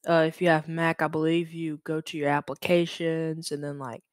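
A young man presenting a software tutorial in a casual, halting, informal way, thinking aloud as he goes. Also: a little high, level tone, smooth, no echo, clean studio sound.

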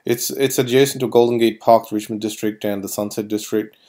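A man giving informal voice-over commentary as a tour guide, haltingly, often repeating and correcting himself. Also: fairly low pitch, steady tone, mellow.

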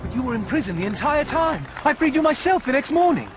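An actor playing an earnest, indignant young blacksmith hero in a video game, urgent and exasperated. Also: male voice, very high-pitched, very animated, fast talker, over music. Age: young adult.

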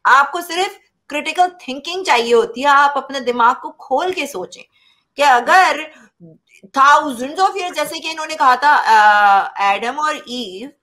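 A woman's voice: sits high, sharp tone. A young woman hosting a casual debate on a video call, making blunt points with rhetorical questions. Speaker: host. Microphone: webcam on video call.

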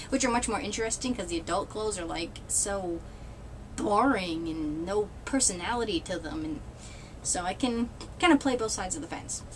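A woman's voice: medium pitch, lively intonation, moderate pace, a little hiss or hum, rough recording. A woman in her thirties from New England, chatting to a webcam in a small room, rambling and wry with frequent laughs and mild swearing. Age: 30s. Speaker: vlogger. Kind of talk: casual chat. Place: small indoor room with red cloth backdrop.

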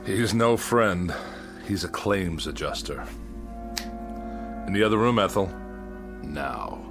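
An actor playing an irritable, arrogant middle-aged husband in a radio drama, curt and sarcastic. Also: male voice, sits low, lively intonation, takes it slowly, over music, full character voice.

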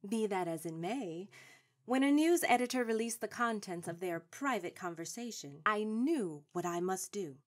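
A woman's voice: medium pitch, lively intonation, moderate pace, close to an everyday voice. Authoritative suffragette elder stateswoman, played by an actress. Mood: composed, resolute. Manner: formal, declarative, authoritative. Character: seasoned, proud, shrewd woman suffrage activist of the 1910s. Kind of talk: stage-trained radio drama acting.

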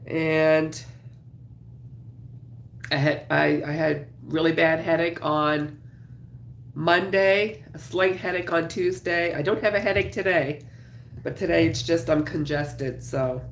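A woman in her thirties with a cold, raspy and congested, chatting casually with viewers on her home livestream while crocheting. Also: deep voice, steady tone, slow, long pauses, echoey room, slight background noise. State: congested, sore throat. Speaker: craft livestream host. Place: small home craft room, indoors.

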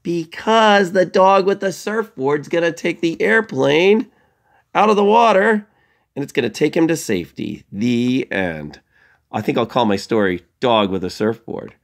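A middle-aged man giving a lesson to young children as an elementary school teacher, playful and expressive, posing questions and answering them himself. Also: a little high, a little slow.

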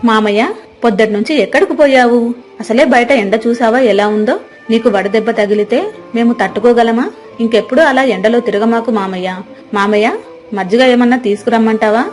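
A woman's voice: fairly high pitch, moderate pace, a little mellow, soft background music, natural voice. A woman playing a doting, plaintive, manipulative young married niece in a dramatized village moral story. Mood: solicitous. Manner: solicitous, plaintive.

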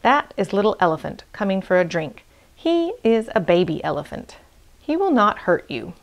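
A kindly grown-up chimpanzee from a storybook, voiced by a woman reader. He explains things patiently and plainly, reassuring a young listener in a measured way. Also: mid-range voice, sing-song. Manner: explaining patiently to a little bear. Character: kindly, reassuring adult male chimpanzee father.

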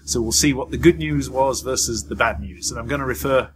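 A man's voice: medium pitch, a little fast. Middle-aged man presenting news over background noise. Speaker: host of a news vlog. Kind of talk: semi-scripted news roundup to camera, referring to notes. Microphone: lapel mic. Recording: clearly noisy background ambience.

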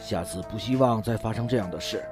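A man voices a stern male boss in a radio drama. His lowish voice admonishes deliberately and with authority, over clearly audible music. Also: natural voice. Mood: stern, disapproving. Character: stern male talent manager lecturing a newcomer. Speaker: voice actor. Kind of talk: amateur Chinese radio drama acting.

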